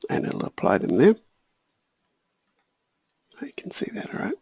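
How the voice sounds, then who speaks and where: A man walking through a software tutorial, thinking aloud and correcting himself, a little hoarse. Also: sits high, a little slow, no room sound, whispered, muffled recording. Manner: relaxed, thinking aloud, small self-corrections. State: slightly hoarse, clearing throat. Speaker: software trainer. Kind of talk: screen-share software tutorial.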